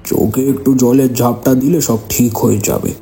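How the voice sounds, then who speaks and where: Actor as very dark-voiced, commanding old grandfather. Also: mid-range voice, steady tone, moderate pace, soft background music, lightly acted. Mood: calm, reassuring. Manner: composed, commanding. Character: wise, authoritative elderly grandfather, village occultist. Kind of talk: horror audio drama.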